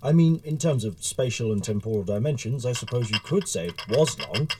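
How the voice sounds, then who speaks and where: Man acting gentleman author, audio drama, very varied intonation, earnest, wordy, formal period speech, flustered. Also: mid-range voice, expressive, brisk, noisy background, close to an everyday voice. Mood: eager, chatty. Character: eager, flustered gentleman author and time traveler.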